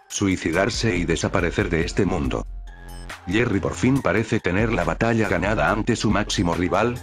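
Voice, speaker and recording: Male narrator, low register, scripted countdown read with light music underneath. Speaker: narrator of a cartoon top-list video.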